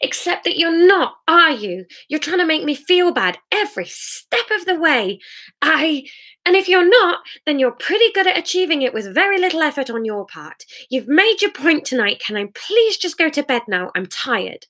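A woman's voice: high voice, sing-song, takes it slowly, few pauses, bright, forceful tone, voice pushed up, exaggerated voice. A woman doing a drunk, crying, furious young woman. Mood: bitter. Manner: bitter, tearful, raised.

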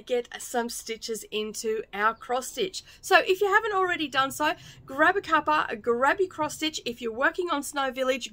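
Casual craft host: middle-aged woman, scratchy fry-laden voice, a bit tired and dry-throated, recorded without room echo. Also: a little high, a bit bright. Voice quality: slightly croaky, scratchy voice. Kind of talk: casual stitch-along chat. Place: small indoor craft room.

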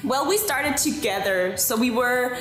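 A woman, guest mind-reader speaking calmly and with good humor over a video call from home. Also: a little high, measured pace, echoey room, faint music behind, poor audio. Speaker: magician guest. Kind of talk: casual interview with magic demo. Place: living room at home.

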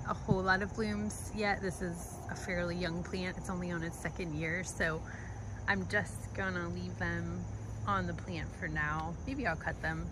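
Woman strolling her garden filming on her phone, kinda low voice, lots of outdoor bug noise. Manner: chatty, enthusiastic, laughs softly. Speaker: gardening vlogger. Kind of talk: casual walking garden tour. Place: outdoor garden with crickets and insects.

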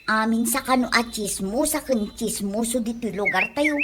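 A woman's voice: fairly high pitch, moderate pace, noisy background, lightly acted. A woman playing a blunt, insistent gossipy neighbour in an Ilocano radio comedy drama, with an Ilocano accent.

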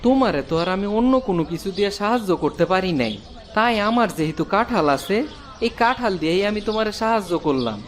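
A man's voice: high voice, animated, quick pace, a little hiss or hum. A man voicing a kind, helpful village woodcutter in a cartoon, earnest and folksy, with a rural Bangladeshi accent. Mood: kindly. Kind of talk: cartoon storytelling dub. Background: prominent music.

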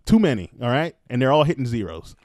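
A man's voice: medium pitch, big pitch swings, fast, darkish tone, no echo, clean studio sound. A man co-hosting a podcast in casual group banter, blunt, profane and teasing. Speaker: podcast co-host. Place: small room around a table. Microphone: podcast table microphone.

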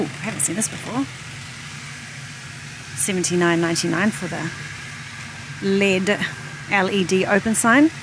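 A woman, chatting to the camera while shopping and reading out prices as she goes. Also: medium pitch. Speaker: vlogger. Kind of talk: shop-with-me vlog narration. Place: large warehouse store, indoors. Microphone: handheld phone camera. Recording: noisy warehouse store ambience. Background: store ambience.

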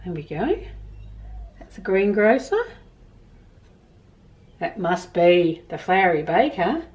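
Storytime presenter: middle-aged Australian woman, unhurried and highly animated, expressive delivery for children, slightly distant room mic. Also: muffled recording. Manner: expressive, emphatic storytelling for young listeners. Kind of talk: picture-book reading and explaining to children. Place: small indoor room at home with bookshelves.

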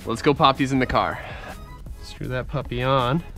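A young man with a congested voice, chatting excitedly to viewers as he works on his car in a driveway, recorded on a head-mounted camera. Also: mid-range voice, normal speed, faint music behind. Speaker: car vlogger. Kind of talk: casual point-of-view vlog. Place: outdoors in a driveway, working in a car. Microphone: head-mounted action camera.

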